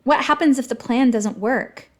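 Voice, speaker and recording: A woman's voice: high-pitched, moderate pace, pitched above the performer's own voice. A woman voicing a young girl adventurer in an audiobook, earnest, direct and loyal to her friends. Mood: worried.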